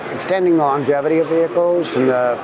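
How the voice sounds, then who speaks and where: A man's voice: on the high side, fast. An older man of about sixty giving promotional interview talk as a company chief executive. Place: indoor trade show booth. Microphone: lapel mic. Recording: loud trade show background noise.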